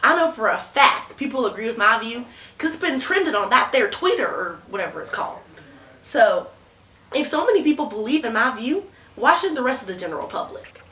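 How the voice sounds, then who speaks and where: A young woman acts out a scornful, alarmist female candidate at a student-video debate. Her voice is fairly high and forceful, with blunt, folksy phrasing. The sound is roomy and echoing. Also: very animated, brisk. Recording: roomy echo, noticeable background noise.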